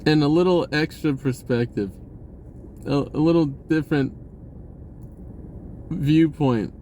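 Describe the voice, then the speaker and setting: Guy rambling about spiritual stuff, kinda high voice, says dude a lot, little bit of hiss behind. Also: slow, warm tone. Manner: rambling, repeats words, swears casually, says "dude". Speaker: spiritual vlogger. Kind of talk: casual spiritual monologue.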